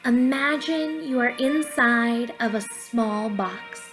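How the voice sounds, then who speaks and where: A young adult woman with a fairly high voice leads children through a guided drama exercise. She explains each step encouragingly, as a teacher would, speaking into a webcam at home. Soft music sits behind her. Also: slow pace. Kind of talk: instructional talk and guided pantomime narration for children. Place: living room at home, indoors. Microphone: webcam or laptop at arm's length. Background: soft quiet music.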